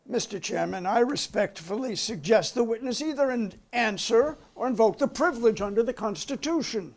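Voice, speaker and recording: Insistent American male committee counsel acted by a man, formal questioning in a high register for a staged hearing reading. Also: animated, normal speed, gravelly, full character voice. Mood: pressing. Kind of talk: dramatic reading of a hearing transcript.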